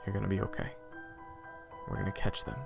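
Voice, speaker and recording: A man's voice: sits low, completely flat delivery, fairly quick, vocal fry. A man playing a protective young fantasy chef in an audio drama, talking casually with hesitant, concerned stammers. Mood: gently reassuring. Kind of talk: casual, modern-sounding audio roleplay acting. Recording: music bed under the voice.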